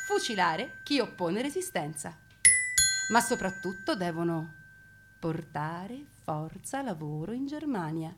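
A woman's voice: mid-range voice, fairly bright tone. A woman narrating family war memories as a theatrical monologue, with dramatic delivery and conversational asides to her listeners. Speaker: stage narrator and storyteller.

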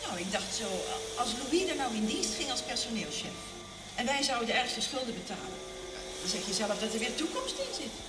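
Highish, animated, persuasive woman. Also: brisk. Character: practical, persuasive woman planning the family business. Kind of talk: 1970s Dutch television drama acting. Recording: echoey, distant TV audio, loud music.

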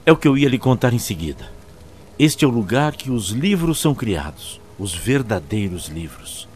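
A man's voice: mid-range voice, close to an everyday voice. A man with a Brazilian accent playing a learned alien Time Lord who explains ancient lore like a storyteller in an audio drama. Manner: expository, storyteller-like. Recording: faint background noise.

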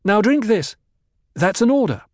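A man voicing a commanding noble lady who gives sharp, clipped orders. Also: high-pitched, big pitch swings, moderate pace, no echo, studio quality, pitched above the performer's own voice.

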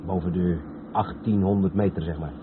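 An actor playing a calm, knowledgeable truck driver who explains patiently in a radio play. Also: male voice, deep voice, normal speed, close to an everyday voice. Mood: calm, instructive. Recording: tinny noisy old radio play recording.

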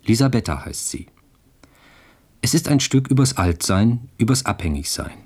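Low-voiced, slightly husky male radio announcer. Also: very animated, normal speed, a little mellow. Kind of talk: scripted radio play introduction.

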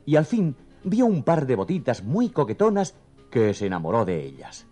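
A man narrating a scripted children's story in an expressive, theatrical storytelling manner. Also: mid-range voice, normal speed, faint music behind.

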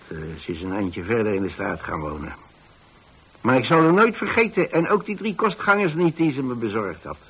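A man's voice: mid-range voice, a bit bright, husky, rough recording. An older man, a radio actor playing a kindly retired man who tells his story in a hesitant, rambling, conversational way. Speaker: radio actor, narrating lead.

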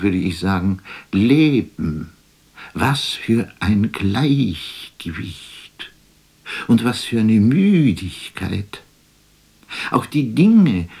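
Slow, husky, deep-voiced wistful poet. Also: male voice, darkish tone, full character voice. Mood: weary longing. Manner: musing, measured, lyrical. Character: wistful, weary male poet imagining a phone call. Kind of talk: literary radio drama monologue.

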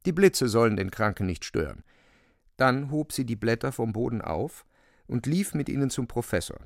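A man narrating a literary radio play in a deliberate, expressive storytelling manner, close to the microphone. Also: mid-range voice, creaky, dry recording.

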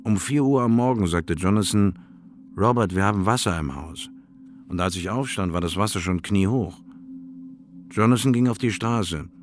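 Ein älterer Schauspieler spielt einen Großvater, der in einem Hörspielmonolog gefasst von der Flucht seiner Familie vor einer Flut erzählt. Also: male voice, fairly low pitch, normal speed, lightly acted. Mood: sober recounting. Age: older. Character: grandfather Robert steadily recounting his family's flood escape. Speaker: radio drama actor. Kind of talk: dramatic first-person survivor monologue.

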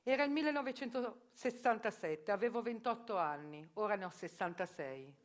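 A woman voicing a proud, tough sixty-six-year-old woman who lives as a man, answering firmly in a raspy voice. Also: mid-range voice, expressive, moderate pace, close to an everyday voice. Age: 60s. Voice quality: raspy, like a heavy smoker. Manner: firm, proud, emphatic answers.

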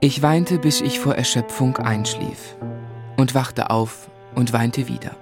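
Reflective, melancholy narrator. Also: male voice, medium pitch, fairly even intonation, over music. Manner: measured, reflective. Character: melancholy man recalling his sorrowful boyhood. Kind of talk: scripted literary narration.

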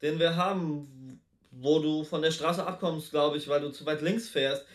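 Interviewer: man, lighter and higher in pitch, informal questioning. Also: fairly even intonation, a little slow.

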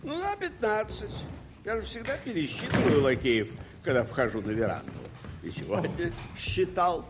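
An actor playing an imperious, irascible English duke in a radio play, haughty and exclamatory. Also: male voice, a little high, huge pitch swings, moderate pace. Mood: indignant. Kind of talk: 1980s Soviet radio play acting. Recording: noisy old radio recording.

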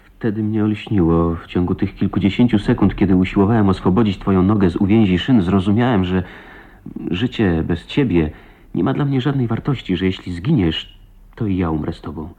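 Radio drama as ardent husband; rough husky low voice, emotional, faltering delivery, slightly muffled lo-fi sound. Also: male voice, warm tone. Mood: fervent confession. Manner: impassioned, emotional, halting delivery. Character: ardent proud man pleading his love to his wife. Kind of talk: 1980s Polish radio drama acting. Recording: dull lo-fi radio play recording.

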